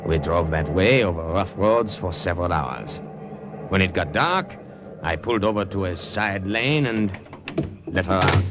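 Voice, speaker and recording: A man's voice: low-pitched, animated, unhurried. A man acting a suave, wry poet spy and narrator in a 1950s-style radio drama, with a courtly, slightly hesitant manner. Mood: wry narration. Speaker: narrator and lead character. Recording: noisy old-time radio recording.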